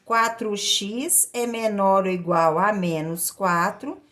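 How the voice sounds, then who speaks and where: A woman's voice: medium pitch, steady tone, slow, fairly bright tone. A woman with a Brazilian accent explaining a lesson step by step as a maths teacher, in a didactic way with repeated phrases. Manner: didactic, repeats phrases and checks in with short tag questions.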